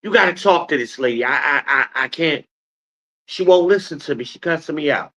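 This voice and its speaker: A man voicing a panicked young male trainee, high and stammering as he begs for help on a call. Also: lively intonation, brisk, sharp tone, muffled recording. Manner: pleading, stammering.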